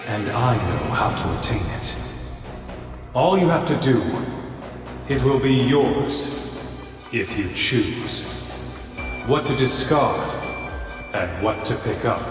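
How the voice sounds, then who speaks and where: Pompous grave game villain, lowish voice, huge echo, music behind. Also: male voice, big pitch swings, takes it slowly, long pauses. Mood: grave persuasion. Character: commanding, calculating older male tempter offering a bargain. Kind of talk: video game villain monologue. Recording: cavernous long reverb, distant, music.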